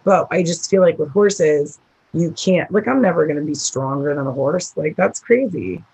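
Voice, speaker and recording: A woman from the Midwest with a rough voice, chatting casually as a guest about teaching horse riding, rambling and laughing often. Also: low voice, moderate pace, a little mellow, low-quality recording. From American Midwest. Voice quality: rough, husky voice. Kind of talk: casual interview talk.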